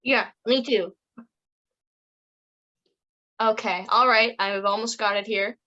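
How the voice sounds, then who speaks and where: A woman's voice: a little high, fairly even intonation, slow pace, sharp tone. A woman co-hosting a podcast, chatty and giggly as she asks a guest questions. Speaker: podcast co-host. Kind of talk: casual interview chat. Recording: dull lo-fi audio.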